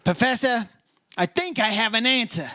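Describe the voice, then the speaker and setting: A man's voice: very high-pitched, animated, normal speed, bright, forceful tone, no echo, studio quality, heavily acted. An actor playing a shrewd, brash insurance investigator in a radio detective play, slangy and clipped in his talk. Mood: accusing. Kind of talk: old-time radio detective acting.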